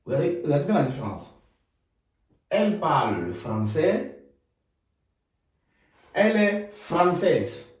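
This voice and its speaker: A man from Cameroon teaching German in French, repeating phrases slowly and adding checking tags like "hmm?". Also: a little high, big pitch swings, very echoey, distant mic. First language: Ngamba. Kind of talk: bilingual language lesson.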